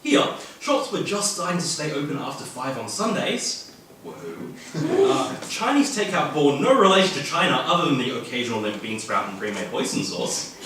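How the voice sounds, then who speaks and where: A man's voice: a little high, sing-song, normal speed. An Australian man reading humorous fiction aloud at a live event, with wry, jokey asides. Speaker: author reading at a literary event. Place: indoor event venue, filmed reading. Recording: echoey room, distant mic, faint noise.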